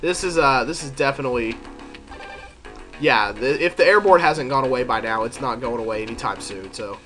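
A man's voice: medium pitch, brisk, bright, forceful tone. A man with a head cold, sounding congested, chatting and thinking aloud as he commentates a video game. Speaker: let's play commentator. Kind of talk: casual gameplay commentary. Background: game music.

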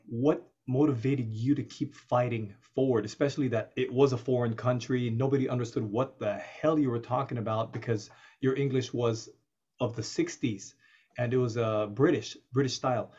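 A man's voice: medium pitch, darkish tone, husky. A man hosting a casual interview over a video call, friendly and direct, with slangy phrasing. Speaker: host. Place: indoor room with virtual background. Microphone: video call webcam. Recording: dull lo-fi video call audio.

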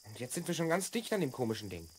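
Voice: medium pitch, very animated, quick pace, darkish tone, breathy edge. A performer playing an assured, explanatory twelve-year-old boy from the future in a children's radio play.

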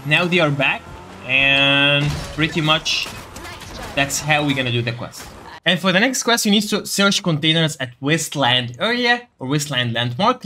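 A man with a fairly high, bright voice explains game quests in a casual walkthrough. He talks directly to his viewers, with faint noise behind him. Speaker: gaming video creator giving a quest guide. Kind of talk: casual gameplay tutorial commentary.

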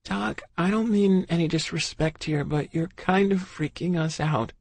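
A man voicing a young pregnant woman who speaks softly and emotionally. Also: a little high, measured pace, vocal fry, slightly rough, dry recording, voice pushed up, exaggerated voice. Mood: anxious. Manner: soft, teary.

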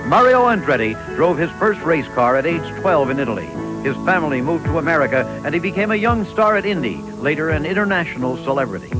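A man narrating a sports television feature from a script, with measured, dramatic storytelling. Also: on the high side, big pitch swings, over loud music, low-quality recording. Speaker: sports documentary narrator and host.

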